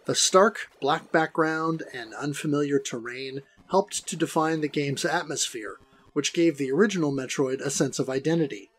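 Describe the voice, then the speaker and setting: A man's voice: a little high, normal speed. A middle-aged man hosting a video game review, narrating from a script in a wordy, theatrically formal way.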